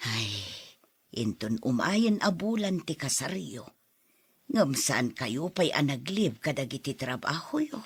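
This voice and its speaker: A woman playing a gentle, caring older mother in an audio drama, speaking in a soothing, measured way. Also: low-pitched, big pitch swings, breathy edge, no room sound, full character voice.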